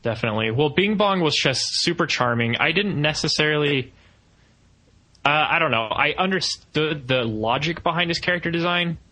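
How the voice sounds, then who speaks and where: Bright-voiced man in casual podcast chat. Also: fairly high pitch, expressive, moderate pace. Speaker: podcast co-host. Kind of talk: casual film review chat.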